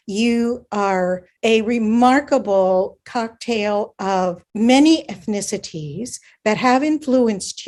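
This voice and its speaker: A woman's voice: medium pitch, darkish tone. A woman hosting a radio interview show from Hawaii, speaking with enthusiastic, emphatic praise for her guest.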